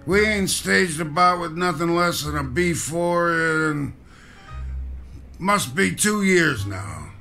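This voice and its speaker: A gruff fight promoter in an old-style radio play. The voice is gravelly, strongly raspy and sharp-edged. He speaks in short, bossy orders, with music playing under the scene. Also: on the high side, animated, slow pace, heavily acted. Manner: curt, bossy. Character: gruff middle-aged male fight promoter, impatient. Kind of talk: 1960s-style radio drama acting.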